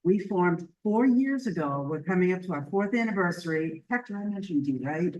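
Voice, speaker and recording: A woman leading a community group, giving an earnest, chatty presentation at a public meeting in a library, with a loud voice. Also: on the low side, expressive, moderate pace, recorded from a distance, lo-fi sound. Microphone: handheld or stand microphone.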